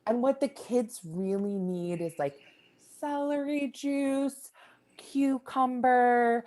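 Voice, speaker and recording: Woman doing a preachy health-nut lady, slow airy lecturing. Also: on the high side, a little mellow. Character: preachy wellness-obsessed woman pushing green juice. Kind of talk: live improv comedy.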